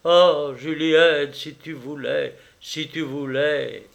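A man reading aloud and voicing a passionate young lover who pleads and declaims in a slow storybook reading. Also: on the high side. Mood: impassioned.

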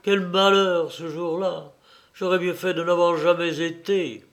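A man's voice: high voice, takes it slowly. A man voicing an angry, self-pitying middle-aged mother who berates her son in a scornful, theatrical way, as in an audiobook. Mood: furious.

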